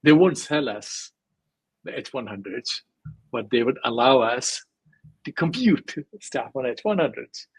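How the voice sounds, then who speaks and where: A man's voice: mid-range voice, expressive, measured pace, vocal fry, husky, no room sound, poor audio. A man speaking as an interview guest, talking in a digressive, emphatic way with many fillers. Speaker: guest, crypto scientist. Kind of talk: casual interview talk.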